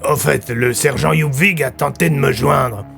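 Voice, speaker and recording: Man as a gravelly, matter-of-fact police commissioner. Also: medium pitch, normal speed, a bit bright, faint music behind. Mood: practical, calm. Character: down-to-earth police commissioner, practical and courteous. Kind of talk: audio fiction acting.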